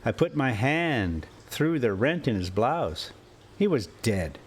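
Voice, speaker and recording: A man reading a classic adventure story aloud in deliberate, theatrical phrases broken into short clauses. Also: medium pitch, very animated, breathy edge. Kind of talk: dramatic audiobook-style narration of an adventure story. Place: inside a moving motorhome.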